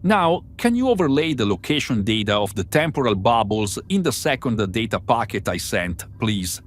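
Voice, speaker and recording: A man's voice: fairly low pitch, big pitch swings, moderate pace. A man playing an analytical starship crew member in an amateur sci-fi drama, explaining things precisely and methodically. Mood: eager, reasoning.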